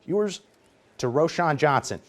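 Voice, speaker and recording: An American man working as a sports reporter, reading scripted highlight narration with energetic play-by-play delivery. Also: unhurried.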